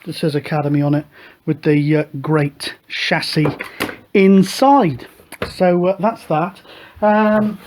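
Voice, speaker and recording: A middle-aged man talks through his radio gear in a loose, rambling way, dotting his speech with "uh" and "so". His voice sits fairly high for a man. He sounds relaxed and unscripted, like a hobbyist chatting to regular viewers. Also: slow, noisy background. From United Kingdom. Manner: relaxed, rambling, many fillers. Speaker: radio hobby show host. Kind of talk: casual show-and-tell chat.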